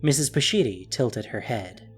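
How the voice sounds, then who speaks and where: A man's voice: medium pitch, animated, normal speed, breathy edge. A man reading scripted story narration as the narrator of an audio drama. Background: music.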